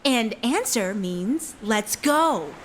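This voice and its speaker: A woman's voice: a little high, animated, extremely slow, fairly bright tone, a little hiss or hum, full character voice. A woman acting a skeptical, wry young sweetheart in a musical theatre drama. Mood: exasperated, wry.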